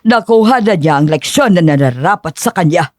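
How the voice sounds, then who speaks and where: A woman's voice: fairly low pitch, lively intonation, moderate pace, darkish tone, no room sound, studio quality, close to an everyday voice. A woman playing a haughty, cruel older mother-in-law in a dramatized audio story, disdainful and imperious. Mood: smug, sugary.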